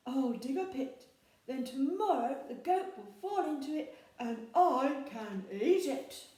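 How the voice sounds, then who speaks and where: A woman's voice: high voice, animated, slow pace, warm tone, far from the mic, pitched above the performer's own voice. A woman voicing a timid, hungry male troll in a storybook, talking in a small, anxious voice. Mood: scheming. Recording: very echoey room.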